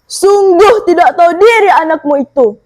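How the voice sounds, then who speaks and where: A woman's voice: very high-pitched, lively intonation, normal speed, a little mellow. A woman voicing an angry, scornful king in a folktale, scolding with indignation. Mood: indignant. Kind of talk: theatrical folktale storytelling.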